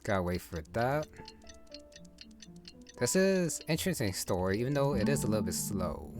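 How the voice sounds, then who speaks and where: A man thinking aloud over gameplay in a relaxed, musing way, his voice sitting low. Game music plays clearly behind him. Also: normal speed. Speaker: gaming channel host. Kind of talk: casual gameplay commentary.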